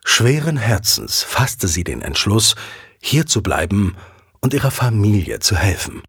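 A man narrating a scripted audio drama as a dramatic, expressive storyteller. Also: lowish voice, a little slow, breathy edge, dry recording, clean studio sound. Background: background music.

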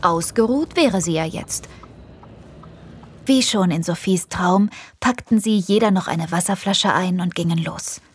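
A woman's voice: fairly low pitch, measured pace, husky. A woman narrating an audio play as a scripted storyteller.

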